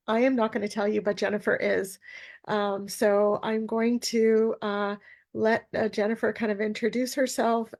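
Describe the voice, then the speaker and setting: A woman's voice: mid-range voice, a little mellow. A woman hosting a webinar, introducing the guest in a friendly way with many fillers. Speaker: webinar host. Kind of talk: casual hosting.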